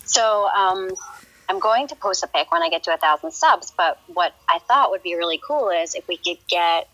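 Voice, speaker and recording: A woman's voice: fairly low pitch, expressive, normal speed, pushed and bright, rough recording. A woman chatting casually on a game live stream, hesitant and full of ums and small laughs. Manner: hesitant, many ums and restarts, laughs often. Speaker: streamer and clan recruiter.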